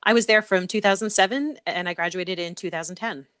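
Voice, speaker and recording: Brief: middle-aged woman guest from Connecticut, lowish voice, dry close recording, relaxed with fillers. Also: brisk, no room sound. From Connecticut, USA. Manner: frequent "um" fillers and repeats, laughs easily. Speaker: guest, associate professor. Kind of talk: casual interview conversation.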